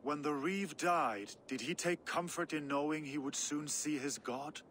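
A slow, brooding old Norse warlord. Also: male voice, a little high, fairly bright tone, a little hiss or hum. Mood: weary, brooding. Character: weary grey-bearded old Norse warlord, searching, resolute. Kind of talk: video game cutscene acting.

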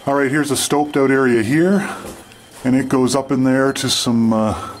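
A man from Canada giving casual, halting running commentary as he explores underground and describes what he sees. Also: medium pitch, steady tone, a little hiss or hum. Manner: halting, unscripted descriptions of surroundings. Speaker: mine explorer and video host. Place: underground in an abandoned mine tunnel.

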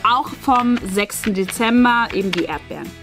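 A woman's voice: on the high side, moderate pace, a bit bright. A woman in her thirties chatting casually to viewers and explaining things as she hosts an unboxing indoors. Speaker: host of an unboxing channel. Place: small furnished indoor room. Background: faint background music.

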